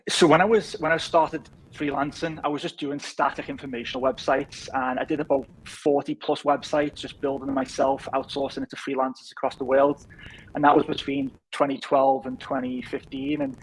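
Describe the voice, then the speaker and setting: A man in his thirties with a softened Liverpool accent talks in an easygoing, rambling way, with plenty of "uh"s. His pitch stays fairly level, with little rise and fall. A faint hiss sits underneath. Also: flat delivery, normal speed, rough recording. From England. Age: 30s. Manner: easygoing, rambling with many fillers. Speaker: podcast guest, business founder. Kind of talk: casual interview chat.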